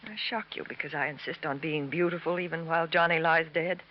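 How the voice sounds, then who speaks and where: A woman's voice: low-pitched, fairly even intonation, brisk, close to an everyday voice. A woman playing a beautiful, vain, grieving widow in a mid-century radio crime drama, with a dramatic, insistent manner. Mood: proud, intense. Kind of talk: 1950s radio drama acting. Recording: muffled, slightly noisy old radio recording.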